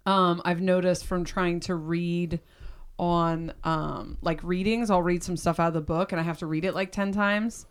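A woman with a raspy, deep voice that cuts out in spots, chatting loosely and candidly as a podcast host. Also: steady tone, moderate pace. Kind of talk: casual chat.

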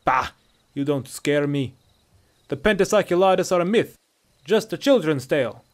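A man voicing a furious, bitter captive Nordic bandit with a husky voice and accent, declaiming indignantly in a fantasy story. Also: fairly high pitch, expressive, normal speed, pitched above the performer's own voice, close to an everyday voice. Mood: scornful, defiant.

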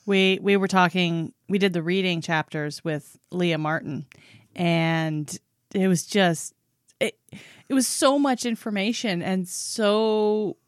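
A woman's voice: lowish voice, fairly even intonation, slow pace, dry recording, clean studio sound. A woman co-hosting a podcast, speaking in a thoughtful, rambling way during casual conversation. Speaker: podcast co-host.

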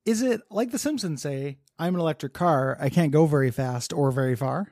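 Podcast co-host guy, kinda high voice, laughs a lot, rambly riffs, dry close sound. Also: brisk, darkish tone, no echo. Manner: rambling, laughs often, riffs on pop culture. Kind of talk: casual chat.

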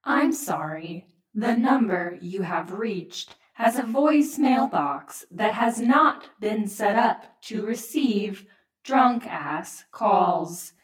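A woman playing a sarcastic mock voicemail operator in an audio drama, deadpan and measured. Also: mid-range voice, echoey room, far from the mic, low-quality recording. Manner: deadpan, measured announcement delivery.

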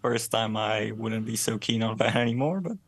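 A band member speaks in relaxed, fluent English. His voice sits a little low, recorded dry and close. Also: moderate pace, vocal fry, no echo.

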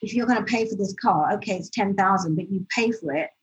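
A woman in a relaxed interview, telling stories animatedly and acting out what people said. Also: mid-range voice, fast talker. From United Kingdom. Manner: animated storyteller, acts out quoted speech. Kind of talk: casual conversational interview. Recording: slightly dull lo-fi audio.

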